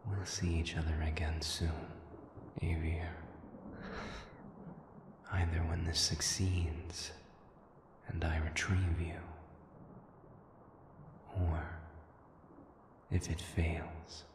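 A man voicing a cold, resolute demon in an audio drama, with a breathy whisper and a solemn, deliberate delivery. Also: extremely low pitch, flat delivery, full character voice. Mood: cold resolve. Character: cold, resolute male demon, menacing and unhurried. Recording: echoing, heavy reverb with noise.

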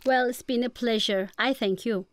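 A woman's voice: a little high, normal speed, no room sound. A woman with a Turkish accent, giving formal, academic, prepared answers as a guest expert. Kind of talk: prepared lecture-like radio interview.

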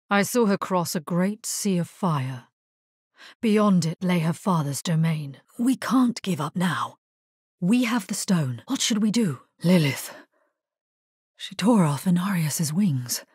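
A woman voicing a solemn, resolute necromancer in a dark fantasy video game, with a husky, breathy voice and grave delivery. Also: fairly low pitch, no room sound, studio quality, full character voice. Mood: solemn, urgent. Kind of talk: dramatic scripted game dialogue.

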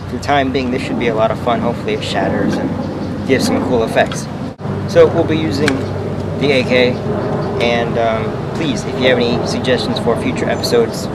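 A man's voice: mid-range voice, heavy background noise. A young man casually presenting a video to camera, recorded outdoors a few feet from the camera. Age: young adult. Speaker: video host. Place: outdoors in front of a screened porch.

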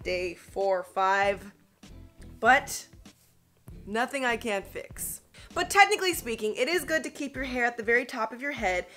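Bubbly woman doing a hair tutorial to camera, little bit high pitched, soft music underneath. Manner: chatty, upbeat, coaching viewers. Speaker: beauty vlogger. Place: small indoor studio with grey backdrop.

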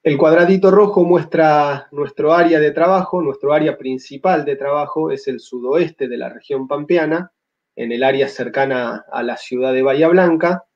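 A man in his thirties with an Argentine accent, giving a measured academic slide talk over a video call, pausing often with filler sounds. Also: a little high, darkish tone. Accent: Argentine Spanish. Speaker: paleontology lecturer. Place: home room on a video call. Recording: slightly dull lo-fi audio.

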